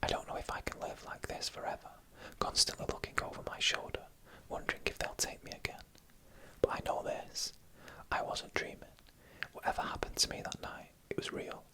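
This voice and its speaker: A narrator whispering eerie first-person stories in ASMR style, in a hushed, deliberate, suspenseful manner. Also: poor audio.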